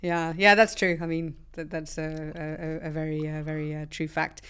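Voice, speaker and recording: Female podcast co-host, smooth low-mid tone, friendly interviewer. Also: fairly even intonation, moderate pace, fairly bright tone. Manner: friendly, laughs often, asks questions. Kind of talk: casual chat. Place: studio.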